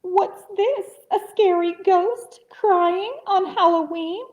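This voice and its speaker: A woman's voice: very high-pitched, very slow, distant mic, muffled recording, pitched above the performer's own voice, full character voice. A woman voicing a teasing little male bat in a storybook, with a mocking singsong manner.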